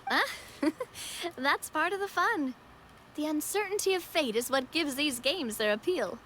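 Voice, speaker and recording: A woman's voice: high voice, lively intonation, unhurried, a bit bright, slight background noise. An actress voicing a cheerful young woman who explains the rules of the game in a video game.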